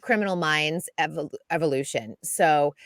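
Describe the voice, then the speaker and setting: A woman giving a chatty, lively entertainment news segment on a radio show, laughing often. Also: sits low, moderate pace, no echo, clean studio sound. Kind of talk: casual radio chat.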